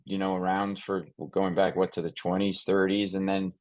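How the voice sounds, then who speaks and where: A man's voice: sits low, monotone, normal speed, a little mellow, no room sound. A man hosting an interview in a casual, conversational way, rambling and thinking aloud as he goes. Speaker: podcast host interviewing a guest.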